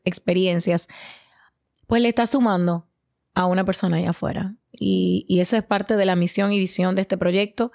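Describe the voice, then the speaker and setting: A woman hosting a podcast, chatting in a lively, playful and affectionate way. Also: mid-range voice. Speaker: podcast host. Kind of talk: casual podcast chat.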